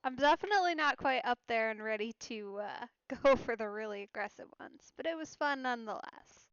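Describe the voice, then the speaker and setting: A woman giving casual commentary as she plays a video game on stream, thinking aloud haltingly with murmured asides. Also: fairly high pitch, lively intonation, a bit bright, smooth, no room sound. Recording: muffled lo-fi audio, faint game music.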